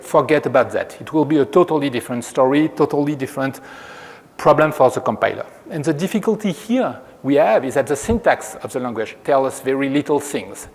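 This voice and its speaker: A man from France with a French accent, giving a measured technical lecture as a researcher at a conference. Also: medium pitch, lively intonation, a little mellow. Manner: measured, explanatory, frequent restarts. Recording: long reverb of a hall.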